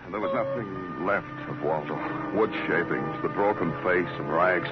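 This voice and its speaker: A low-pitched, world-weary detective, hardboiled and brooding. It is classic noir radio acting, muffled, with heavy music behind it. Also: male voice, huge pitch swings, moderate pace. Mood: brooding, poetic. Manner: terse, hardboiled.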